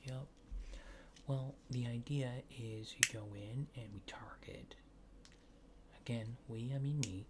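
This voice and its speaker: A man doing a soft-spoken, often whispered ASMR roleplay as a casual, polite alien technician, rambling hesitantly in a low-key, reassuring way. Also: takes it slowly, very noisy. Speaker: ASMR creator.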